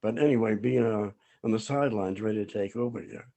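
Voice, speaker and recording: Hoarse, halting man chairing a meeting. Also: on the low side, warm tone. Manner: halting, frequent pauses and restarts. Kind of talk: town board meeting remarks.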